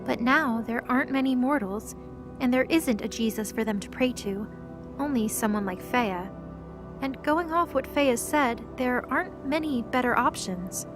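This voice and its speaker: A woman's voice: a little high, normal speed, music in the background, lightly acted. A woman narrator reading scripted third-person narration for an audio drama.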